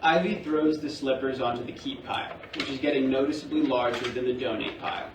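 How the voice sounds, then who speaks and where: A man reading stage directions as scripted narration for a play, measured and deliberate. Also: medium pitch. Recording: roomy, distant mic, faint noise.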